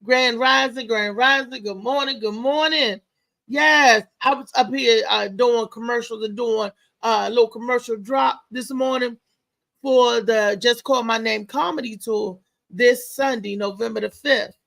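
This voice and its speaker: A woman hosting a casual live show as a comedian, talking in a loud, lively, chatty way with lots of exclamations. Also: on the high side, a little slow, vocal fry. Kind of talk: casual live-stream chat and promotion.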